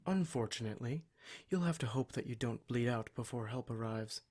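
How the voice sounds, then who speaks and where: A man voicing a smug, menacing demon in an ASMR roleplay, speaking in a measured, formal, condescending way to his captive. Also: medium pitch, a little mellow, lightly acted. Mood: coldly threatening. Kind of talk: ASMR roleplay monologue addressed to the listener.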